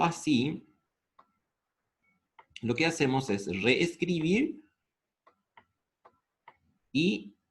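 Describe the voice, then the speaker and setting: A man with a Paraguayan Spanish accent teaching integrals step by step, asking the class questions and answering them himself. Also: medium pitch. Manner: asks the class questions and answers them, slows down over formulas. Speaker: math teacher.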